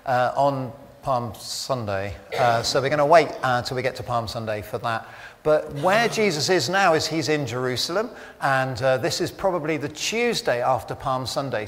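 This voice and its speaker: A man with a husky voice preaching a conversational sermon at a church service, with chatty, self-deprecating jokes. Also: mid-range voice, a bit bright. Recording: long hall reverb.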